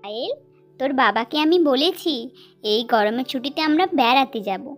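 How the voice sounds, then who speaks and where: A woman's voice: high voice, animated, moderate pace, soft background music. A woman voicing a caring mother in a children's cartoon story, with a coaxing, homely way of talking. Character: caring mother of a schoolgirl, planning family outings.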